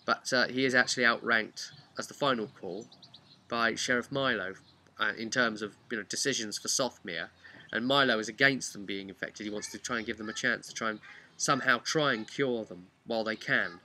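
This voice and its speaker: A man's voice: on the low side, a little fast, bright, forceful tone. A man telling a casual, unscripted recap of a tabletop game as its game master, rambling and using repetition for emphasis.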